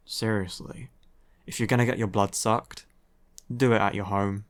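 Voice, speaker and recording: Man acting as a slow, low-voiced grumpy vampire. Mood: sardonic, incredulous. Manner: sardonic, sighing, curt. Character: grumpy young male vampire, weary and exasperated. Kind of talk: roleplay audio acting, ASMR.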